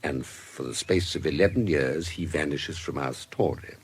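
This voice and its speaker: A man with a British accent playing a shrewd, composed investigator in a radio drama, recounting a case in formal, measured, precise language. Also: very deep voice, husky. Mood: measured, insinuating. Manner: formal, measured, deliberate storytelling.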